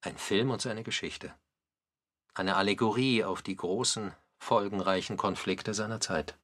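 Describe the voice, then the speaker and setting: A man's voice: on the low side, fairly even intonation, a little slow, fairly bright tone, no echo. A man delivering scripted dramatic narration for a radio documentary, with emphatic, pointed phrasing.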